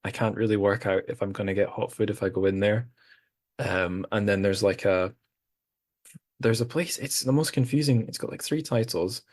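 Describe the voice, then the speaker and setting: A man's voice: fairly low pitch, normal speed, darkish tone, no room sound, clean studio sound. A man hosting a podcast, chatting casually over a video call and telling rambling, amused stories. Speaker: podcast host. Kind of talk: casual chat.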